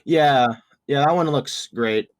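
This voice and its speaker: A young man of about twenty chatting as a podcast guest. He rambles, stringing his thoughts together with "like" and "you know". His voice is on the low side and sounds dry and close to the mic. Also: slow, dry recording. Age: young adult. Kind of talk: casual group chat.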